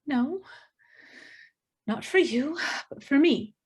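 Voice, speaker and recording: A woman's voice: sits high, animated, takes it slowly, darkish tone. A woman playing a shy, nervous niece, hesitant and earnest, in a screenplay table read. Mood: pleading.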